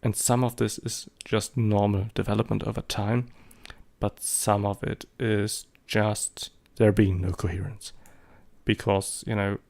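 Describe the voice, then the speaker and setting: Gravelly German-accented man, rambling. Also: fairly low pitch, level tone, a little slow, a little mellow. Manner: rambling, hesitant, many fillers. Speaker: photography vlogger. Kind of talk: casual spoken commentary.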